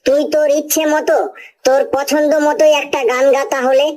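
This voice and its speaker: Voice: high-pitched, level tone, moderate pace, a little mellow, natural voice. A performer voicing a deferential, apologetic schoolboy who pesters his friend in cartoon comedy dialogue. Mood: coaxing.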